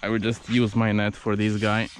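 A man's voice: lowish voice, monotone, moderate pace, a little hiss or hum. A man talking casually to camera outdoors as he films his own fishing vlog. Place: outdoors by a river.

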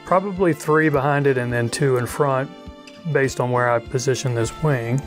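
A middle-aged man narrating a fly tying tutorial in a relaxed, chatty way, thinking aloud as he works. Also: mid-range voice, moderate pace, faint music behind. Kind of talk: casual instructional narration. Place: outdoors on a wide river.

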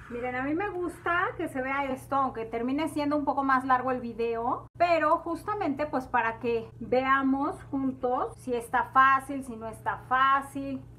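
A woman's voice: high-pitched, fairly bright tone, a little hiss or hum. A woman, hosting a home cooking tutorial in her kitchen and chatting viewers through each step. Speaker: cooking channel host.

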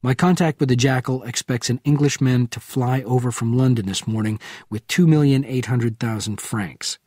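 A man reading an audiobook, voicing a tough male agent who talks in a terse, low way. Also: moderate pace, dark tone, no room sound, clean studio sound, lightly acted. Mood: tense.

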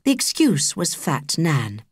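Female British audiobook reader for children's fiction; expressive, varied pitch in a dry recording. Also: slow, husky, no echo. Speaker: audiobook narrator. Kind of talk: scripted children's story narration.

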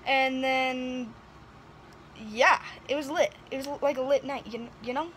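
A woman's voice: high voice, steady tone, unhurried, fairly bright tone, a little hiss or hum. A young woman vlogging casually about her trip, chatty and giggly, filmed on a phone at arm's length in a hotel room and a car. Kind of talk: casual travel vlog. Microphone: phone held at arm's length.

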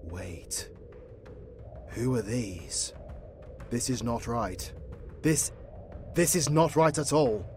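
A man as a slow, grim demon hunter. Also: mid-range voice, expressive, darkish tone, faint music behind. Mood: alarmed, urgent. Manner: slow, ominous, insistent. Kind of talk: fantasy audio drama.

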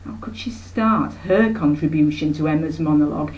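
Low-voiced older woman reading. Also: moderate pace, darkish tone, low-quality recording. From Yorkshire, England. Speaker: author reading own novel. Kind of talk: expressive scripted narration. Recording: noticeable background noise, webcam microphone.

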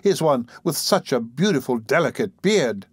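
A man's voice: mid-range voice, animated, moderate pace, breathy edge, no room sound, studio quality. A man voicing a doting, coaxing young serving woman in an audiobook, with a fawning, affectionate manner. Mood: coaxing, fond. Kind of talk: audiobook character voice.

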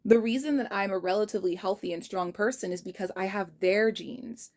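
A young woman in her twenties talking casually to her phone in a kitchen, earnest and frank, reflecting out loud. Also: mid-range voice, brisk. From United States. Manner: earnest and reflective, frank, spells out numbers carefully. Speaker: vlogger. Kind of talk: casual diary vlog. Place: kitchen indoors. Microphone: phone held low at arm's length.

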